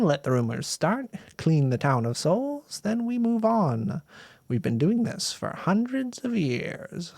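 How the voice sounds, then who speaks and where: A man's voice: fairly high pitch, gravelly, a little mellow, no echo, studio quality, exaggerated voice. A man voicing a sly, soul-eating old woman witch in a storybook reading, sweetly menacing and theatrical.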